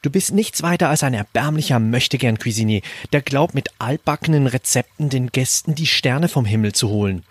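Defiant sous-chef played by a man, blunt and terse, husky, closely recorded and dry. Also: mid-range voice, a little fast, hardly any pauses, dry recording, close to an everyday voice. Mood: defiant anger. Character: defiant male sous-chef standing up to his boss. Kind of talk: German audio drama acting.

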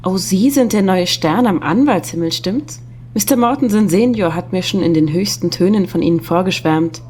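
A woman's voice: lowish voice, normal speed, a little mellow, a little hiss or hum, natural voice. A woman acting a friendly, chatty young office secretary in an audio drama.

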